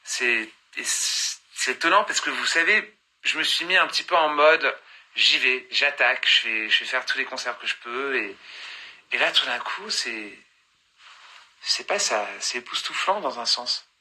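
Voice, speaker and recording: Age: 30s. Sex: man. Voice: very bright. Style: casual live-stream chat, reading fan comments into a phone and answering them, hopping between languages. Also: medium pitch, normal speed, husky, low-quality recording. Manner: switches between languages mid-answer, reads comments then replies.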